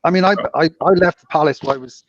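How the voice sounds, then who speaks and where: A Welsh man in his forties, hosting a casual podcast chat and telling stories in an animated, exclaiming way. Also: on the high side, quick pace, no room sound. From Wales. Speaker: podcast host, casino dealer. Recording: dull, dry lo-fi sound.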